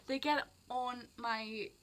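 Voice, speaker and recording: A woman's voice: fairly high pitch, a bit bright. A teenage girl with a South Wales Barry accent tinged with English, rambling expressively in an unscripted casual rant as she jumps between thoughts. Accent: South Wales, Barry, with some English sounds. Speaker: vlogger.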